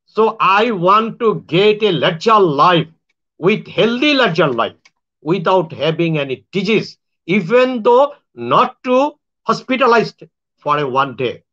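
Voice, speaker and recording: Halting Bangladeshi man, high voice. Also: big pitch swings, a bit bright, highs cut off. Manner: halting, repetitive phrasing with frequent restarts. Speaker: astrologer and self-styled spiritual speaker. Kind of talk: monologue to viewers.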